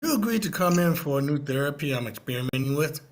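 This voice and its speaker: A man reads a middle-aged male doctor in a film script, gently probing a patient. The voice sits slightly high for a man, with measured, questioning phrasing. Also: animated, natural voice. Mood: gentle. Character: probing, gentle male therapist of fifty-six. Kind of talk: screenplay table read.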